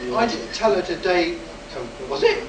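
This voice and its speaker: A man's voice: high voice, sing-song, moderate pace. A man playing a cocky, wisecracking rocket test pilot in a vintage science-fiction radio play, with breezy, wry bravado. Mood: wry, reassuring. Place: convention hall, live stage performance. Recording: echoey hall, distant mic, noisy room.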